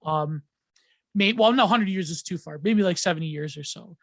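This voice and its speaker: High-voiced man rambling through ideas. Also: normal speed, a bit bright, no room sound. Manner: rambling, many ums and restarts, laughs often. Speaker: podcast guest. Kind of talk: casual podcast chat.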